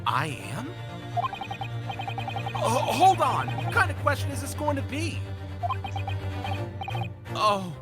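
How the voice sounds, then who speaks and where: A man's voice: very high-pitched, huge pitch swings, takes it slowly, long pauses, over loud music, voice pushed up, heavily acted. A man voicing an anxious young defendant in a video game dub, stammering and pleading as one accused of murder. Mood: startled.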